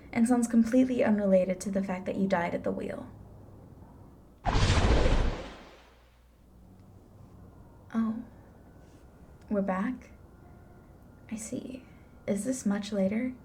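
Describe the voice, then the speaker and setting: A woman's voice: mid-range voice, vocal fry, warm tone, slight background noise. A woman playing a blunt, flippant grim reaper in an ASMR roleplay, with an offhand, dry manner. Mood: dry, softening. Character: blunt, flippant female grim reaper, unsentimental but curious.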